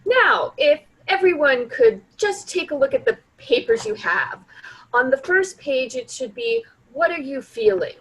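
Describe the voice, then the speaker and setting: A woman playing a prim, flustered grief counselor in a staged dark comedy, speaking politely and formally with hesitations. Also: high-pitched, sing-song, a little slow, heavily acted, poor audio. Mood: prim, procedural.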